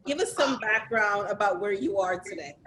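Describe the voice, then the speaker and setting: A woman hosting an interview over a video call, upbeat and welcoming, thanking her guest warmly. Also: medium pitch, animated, moderate pace, slight background noise. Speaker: host. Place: New York City.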